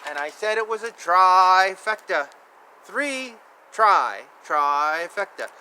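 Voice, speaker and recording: A man reading children's books aloud outdoors, with a drawn-out, sing-song delivery and casual asides. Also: high-pitched, big pitch swings, pushed and bright. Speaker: children's book reader. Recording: outdoor recording with clear ambient noise. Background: outdoor ambience.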